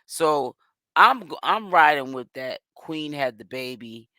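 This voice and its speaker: A man with a hoarse voice, hosting and giving casual gossip commentary. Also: fairly high pitch, lively intonation, measured pace, bright, forceful tone, no room sound. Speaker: commentary host.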